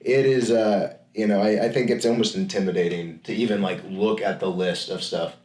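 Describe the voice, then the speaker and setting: A man's voice: on the low side, steady tone, moderate pace, a little mellow. A man co-hosting a podcast, chatting in a laid-back way and often chiming in with agreement. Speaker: podcast co-host. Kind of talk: casual chat about video games. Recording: echoey room.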